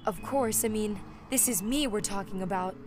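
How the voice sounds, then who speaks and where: A woman's voice: mid-range voice, normal speed, background noise, close to an everyday voice. A woman playing an anxious, self-loathing teenage girl, hesitant and self-deprecating, in an audio drama. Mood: bitter, subdued.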